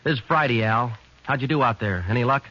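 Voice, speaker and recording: A man playing a police detective who narrates his case in a flat, clipped, matter-of-fact 1940s radio drama style. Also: medium pitch, fairly quick. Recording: muffled, hissy old radio broadcast.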